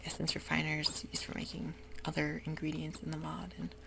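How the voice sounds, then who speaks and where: Whispered ASMR game tour, close mic, gravelly fry, hesitant fillers, hissy recording with keyboard clicks. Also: mid-range voice, completely flat delivery, fairly quick. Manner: soft mostly unvoiced whisper; hesitant, meandering, with many fillers. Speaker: gameplay ASMR host. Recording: audible hiss with keyboard clicks.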